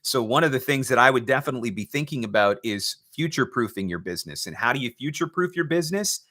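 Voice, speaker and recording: A man's voice: mid-range voice, animated, fast, pushed and bright. Emphatic man hosting a live advice session. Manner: emphatic, uses rhetorical questions. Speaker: host, voice-over marketing coach. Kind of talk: live question-and-answer advice talk.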